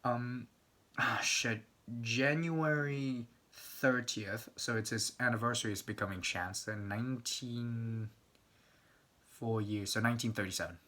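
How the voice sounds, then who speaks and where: A man's voice: medium pitch, steady tone, unhurried, pushed and bright. Rambling, swearing young man. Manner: rambling, swears often, frequent restarts and stammering. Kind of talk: casual rambling monologue.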